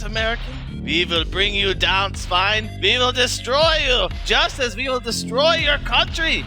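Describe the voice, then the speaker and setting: A man's voice: very high voice, heavily acted. A man playing a ranting, vengeful Nazi general in a motion comic, with a bombastic, menacing delivery. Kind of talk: motion comic villain voice acting. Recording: loud music behind.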